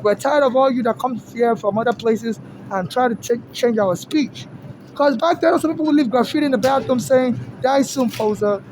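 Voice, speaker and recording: Woman, rambling halting monologue, traffic noise behind. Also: on the high side. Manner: rambling, halting, many restarts.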